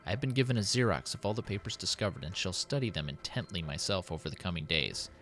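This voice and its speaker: Man acting a deep-voiced, solemn city president. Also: expressive, fast, a bit bright, faint music behind. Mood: solemn, intrigued. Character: worried, dutiful city president dictating his diary. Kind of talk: dramatic in-character diary reading.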